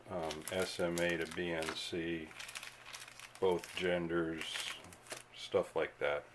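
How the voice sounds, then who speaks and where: A man's voice: sits low, a little hiss or hum. A man narrating a casual hands-on demonstration as a hobbyist, unscripted and laid-back. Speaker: radio hobbyist host. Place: indoor home radio workbench.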